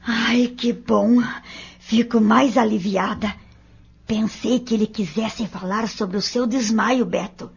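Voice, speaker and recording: Actress as caring inquisitive mother, higher gravelly voice, audio drama, dull recording. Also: fairly even intonation, takes it slowly, full character voice. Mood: concerned, relieved. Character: Nega, curious, fussing, caring mother.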